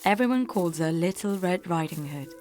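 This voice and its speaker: A woman's voice: on the low side, lively intonation, moderate pace, darkish tone. A woman narrating a scripted fairy tale for children as a storyteller. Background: faint music.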